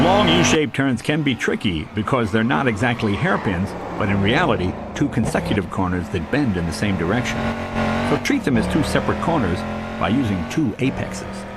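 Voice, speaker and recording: Man narrating driving lessons, very lively pitch. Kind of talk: scripted instructional narration. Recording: loud race car engine noise. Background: racing game car sounds.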